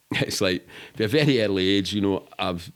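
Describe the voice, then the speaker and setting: A man's voice: low-pitched, moderate pace, clean tone. A middle-aged man with a Glaswegian accent, telling rambling, sweary, matter-of-fact anecdotes in casual interview chat. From Scotland. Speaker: podcast guest.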